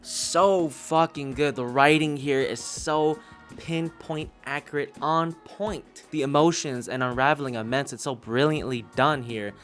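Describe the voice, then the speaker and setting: A man's voice: a little high, fairly even intonation, a little slow, fairly bright tone. Gushing man reviewer over background music. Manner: gushing, emphatic, freely swearing. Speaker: YouTube reviewer and host. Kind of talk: casual enthusiastic review, recommending audio dramas. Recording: music playing under the voice.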